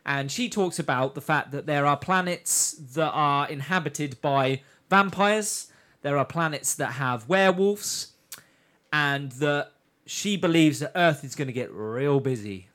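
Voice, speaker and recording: A man's voice: fairly high pitch, measured pace, a bit bright. A man hosting a casual, jokey review chat, swearing freely as he recaps. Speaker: podcast host.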